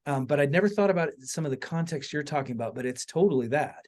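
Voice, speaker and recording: A man's voice: fairly high pitch, expressive, quick pace, darkish tone. A man hosting a podcast in a casual, conversational style, informal and enthusiastic. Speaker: podcast host.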